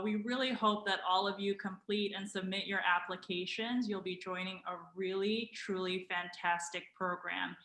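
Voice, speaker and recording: A woman's voice: mid-range voice, sharp tone. A woman who directs a program, speaking in an enthusiastic, emphatic way on a video call. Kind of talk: online info session talk. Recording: echoey room.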